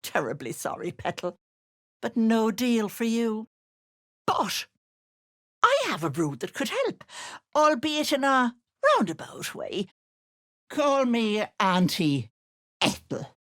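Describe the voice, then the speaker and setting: Old hag, game villain, sugary and menacing, animated swooping pitch, unhurried, dry close sound. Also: female voice, no echo. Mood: teasing, sly. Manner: sugary endearments with sly, mocking menace. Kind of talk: video game character acting.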